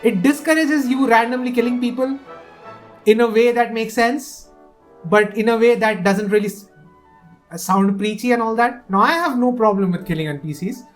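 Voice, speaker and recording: High-voiced woman gushing over a game. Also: normal speed, soft background music. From India. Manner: excitable and opinionated, with frequent restarts. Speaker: gaming video host. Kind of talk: casual game preview commentary.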